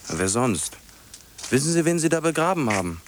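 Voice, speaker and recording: A man's voice: medium pitch, big pitch swings, moderate pace, slight background noise. A man playing a brooding, accusing mourner at a graveside in a German radio play, with an urgent, reproachful manner. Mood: agitated, reproachful. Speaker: actor in a radio drama.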